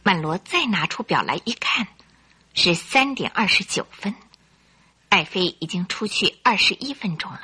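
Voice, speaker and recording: A woman's voice: mid-range voice, big pitch swings, moderate pace, sharp tone. A woman narrating a radio drama in a theatrical, suspenseful storytelling style. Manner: theatrical, suspenseful, clearly articulated.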